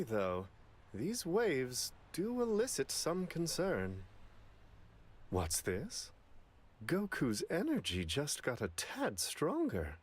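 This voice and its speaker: A man's voice: a little high, full character voice. A man voicing a courtly, unflappable celestial attendant in an anime video game, with a prim, polite, theatrically lilting delivery.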